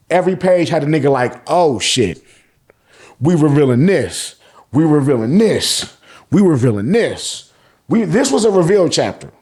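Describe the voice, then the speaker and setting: American guy doing a slangy manga recap, swears a lot, voice a bit high and jumps all over, repeats stuff for emphasis. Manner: animated, profane, repeats phrases for emphasis. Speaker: host of a manga review show. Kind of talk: casual, slangy chapter recap commentary.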